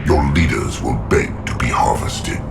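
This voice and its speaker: A booming gravelly alien machine overlord. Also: male voice, over loud music, heavily acted. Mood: contemptuous. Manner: slow, cold, contemptuous pronouncements. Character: vast ancient alien machine overlord, menacing. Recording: game audio with loud orchestral score.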